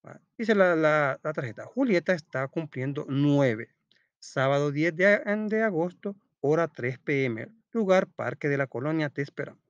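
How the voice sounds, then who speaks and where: A man's voice: a little high, expressive, moderate pace, no echo, dull audio. A man reading a children's school lesson aloud like a teacher, step by step, posing questions and answering them himself. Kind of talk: read-aloud storytelling and step-by-step lesson narration.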